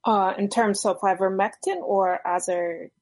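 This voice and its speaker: A woman's voice: medium pitch, fairly even intonation, unhurried, darkish tone, no room sound, studio quality. A woman with an Ethiopian accent, a guest scientist giving opinions in an interview in an insistent way.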